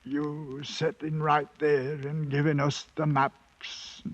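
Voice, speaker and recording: An actor playing a broken, half-mad British ex-soldier in a 1950s radio drama, halting and rambling in a working-class British accent. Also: male voice, a little high, little pitch movement, dry recording. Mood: dazed, weary. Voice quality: husky, frail. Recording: muffled vintage 1950s radio broadcast.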